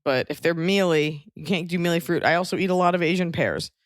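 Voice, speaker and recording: A woman with a slightly low voice chats casually as a podcast host. She is wry and makes fun of herself as she goes. The sound is close and dry. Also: brisk, dry recording. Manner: wry, self-mocking. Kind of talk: casual comedic podcast chat.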